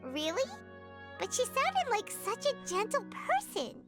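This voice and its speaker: Squeaky, very high little girl. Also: huge pitch swings, measured pace, heavily acted. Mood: curious. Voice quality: squeaky, childlike. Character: small, excitable, childlike girl companion, squeaky and curious. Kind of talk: video game voice acting. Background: game music.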